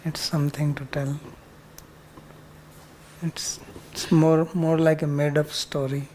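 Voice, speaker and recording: An older man teaching a spiritual class and answering students' questions in a calm, plain-spoken way with dry humor. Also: a little high, takes it slowly, a little hiss or hum, rough recording.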